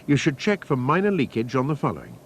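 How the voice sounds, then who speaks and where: A man reading scripted technical instructions as a training film narrator, in a measured, methodical, formal manner. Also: mid-range voice, huge pitch swings. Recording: faint noise, archival training film soundtrack.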